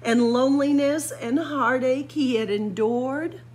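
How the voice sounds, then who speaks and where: Slow, high-voiced woman reading a storybook. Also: a little hiss or hum. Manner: dramatic pauses and drawn-out emphasis for young listeners. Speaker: library story-time reader. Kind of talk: expressive read-aloud of a children's picture book. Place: library room with bookshelves.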